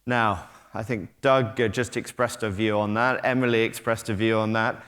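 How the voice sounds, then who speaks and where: A man's voice: lowish voice, steady tone, normal speed, fairly bright tone. A middle-aged British man speaking at a conference, talking conversationally with frequent ums and restarts as he walks the stage. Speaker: conference speaker, technology company head. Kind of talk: conference keynote talk. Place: conference hall. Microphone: stage mic, moving about while talking. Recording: long hall reverb.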